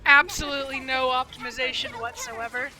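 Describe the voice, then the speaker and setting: A woman live-streaming a game with casual, excitable, gushing commentary. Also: sits high, fairly quick, poor audio. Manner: excitable, gushing fan talk. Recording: game music behind the voice. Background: video game music.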